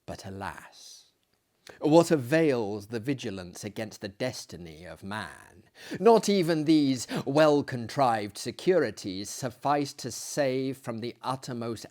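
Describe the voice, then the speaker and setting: A man giving a theatrical, hammy dramatic reading of a gothic horror story, deliberate and swinging between hushed and loud delivery. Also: fairly low pitch. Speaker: dramatic reader, performer.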